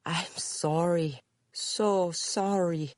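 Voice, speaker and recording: A woman's voice: fairly low pitch, steady tone, dry recording, pitched above the performer's own voice. Narrator voicing a frightened, remorseful Spanish-accented woman in her forties. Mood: remorseful, distressed. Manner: halting, simple broken English, emphatic repetition.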